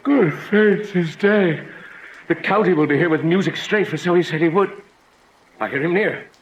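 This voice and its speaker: A man's voice: high-pitched, moderate pace, muffled recording. A man playing a bustling, commanding middle-aged father of a noble house in a Shakespearean radio drama, with blustery archaic speech. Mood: bustling, excited. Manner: blustery, imperious delivery of archaic lines. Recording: roomy, noisy ambient backdrop.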